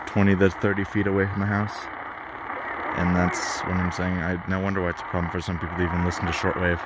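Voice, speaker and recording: Male, very low voice, casual rambling hobbyist commentary, frustrated, outdoors, loud static behind. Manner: frustrated, grumbling about interference. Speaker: shortwave radio hobbyist giving commentary. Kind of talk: casual rambling commentary while tuning a radio. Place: outdoors in a backyard. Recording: very noisy outdoor recording with radio static. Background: shortwave radio noise and signals.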